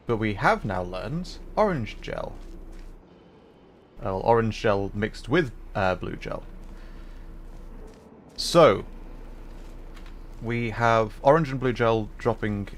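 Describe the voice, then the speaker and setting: A man's voice: fairly low pitch, lively intonation, slow pace, long pauses, a bit bright. A man livestreaming a game, explaining puzzles casually and hesitantly, piece by piece as he thinks aloud. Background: faint noise and game ambience.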